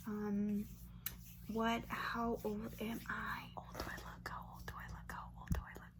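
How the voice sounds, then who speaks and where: A woman in her twenties from Brazil, whispering an ASMR question-and-answer chat close to the mic and softly repeating words over and over as triggers. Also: medium pitch, completely flat delivery, a little slow. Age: 20s. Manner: chatty, filler-heavy, with light laughs. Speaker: ASMR creator. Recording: noisy hum under voice.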